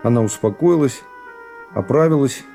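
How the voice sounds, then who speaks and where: A middle-aged man reading classic prose as an expressive dramatic narration for a radio serial. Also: mid-range voice, normal speed, gravelly. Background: music.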